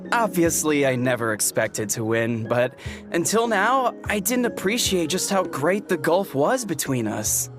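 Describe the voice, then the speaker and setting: An actor voicing an excitable young warrior in a video game, bashful yet bold, earnest and gushing. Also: mid-range voice, measured pace. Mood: elated. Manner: stammering when flustered, gushing, earnest. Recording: music behind the voice.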